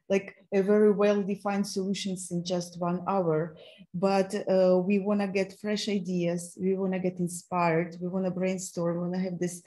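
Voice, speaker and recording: A woman in her thirties with a Ukrainian accent, hosting an online learning event over a video call, talking haltingly with many fillers and restarts. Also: mid-range voice, dark tone. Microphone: laptop mic on a video call.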